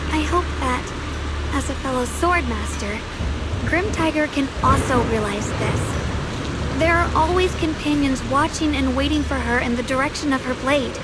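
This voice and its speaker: Game role: young female sword master, played by an actress. High voice, earnest, solemn and resolute, over loud music. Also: natural voice, poor audio. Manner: earnest, solemn, formal phrasing. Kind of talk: dramatic game voice acting.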